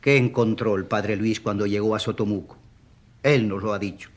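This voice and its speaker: An actor playing a stubborn man from the provinces who pleads for an accused priest in a radio drama, halting and insistent. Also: fairly low pitch, fairly even intonation, lightly acted. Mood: fervent.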